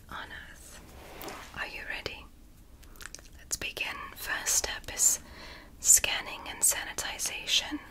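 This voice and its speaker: A woman doing a whispered ASMR roleplay as a gentle alien medical examiner, speaking in a soothing, deliberate and reassuring manner. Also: low-quality recording. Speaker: ASMR performer.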